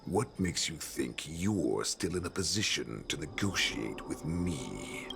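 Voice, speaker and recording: An actor playing a menacing, predatory wolf warlord in a video game, cruel and theatrical, gravelly and taunting, with breathy whispered endings. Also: male voice, extremely low pitch, big pitch swings, slow, exaggerated voice. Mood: mocking. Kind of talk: dramatic video game voice acting. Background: background music.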